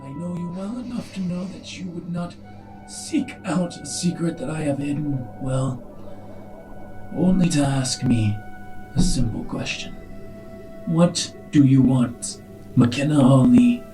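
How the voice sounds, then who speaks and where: Guy doing a grave secretive alien elder for an rpg, slow and formal, kinda high for a man, distant mic with music under it. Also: mellow, close to an everyday voice. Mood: wary, stern. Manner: formal, solemn, measured speech. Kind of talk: in-character tabletop roleplay.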